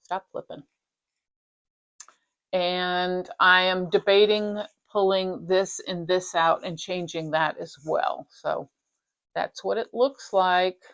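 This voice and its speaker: A woman talks through her stitching projects in a casual, rambling way, often breaking off mid-thought. Her voice sits a little low and has a slight rasp from a dry throat. She speaks at home in her craft room. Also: steady tone, takes it slowly, a bit bright. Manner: chatty, rambling, self-interrupting. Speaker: flosstube host. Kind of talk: casual show-and-tell chat.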